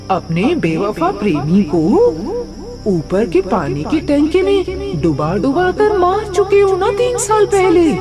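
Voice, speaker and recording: Slow, menacing female ghost over music. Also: extremely high pitch, exaggerated voice. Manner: taunting, drawn-out menacing delivery with cackles. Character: vengeful gloating female ghost haunting a bathroom. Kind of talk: horror cartoon story acting.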